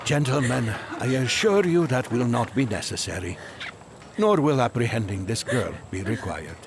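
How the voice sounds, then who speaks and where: An actor playing an aged, devout Magian seeker, gentle but resolute, speaking in solemn, halting oratory in an audio drama. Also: male voice, medium pitch, lively intonation, background noise, lightly acted. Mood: grave, firm.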